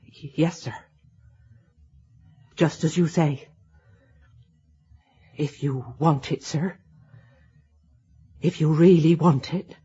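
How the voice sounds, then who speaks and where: An actor playing a superstitious older working-class English housekeeper, homely and deferential, in a radio drama. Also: male voice, a little high, steady tone, takes it slowly, pauses often, dark tone, husky, full character voice. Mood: uneasy. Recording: dull lo-fi old radio recording.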